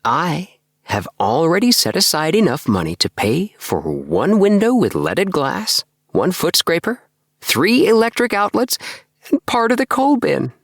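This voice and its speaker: A man voicing an excitable, earnest young dreamer in an audiobook, with an emphatic, self-satisfied manner. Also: on the high side, a little slow, no echo, clean studio sound, pitched above the performer's own voice, exaggerated voice. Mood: earnest, enthusiastic. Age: young adult. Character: excitable young dreamer, eager, earnest, obsessed with house plans. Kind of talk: acted audiobook dialogue.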